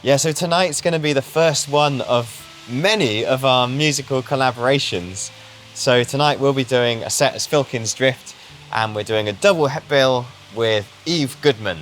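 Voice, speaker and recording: A man's voice: medium pitch, moderate pace, a bit bright, a little hiss or hum. A man who is a touring musician, talking in a friendly, upbeat way about the evening's show. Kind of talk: casual interview chat.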